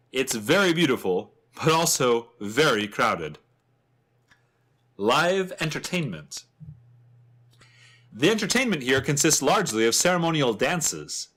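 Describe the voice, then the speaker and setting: A man's voice: mid-range voice, a bit bright. A man playing a chatty, wryly humorous travel writer, narrating a fantasy guidebook with theatrical expression.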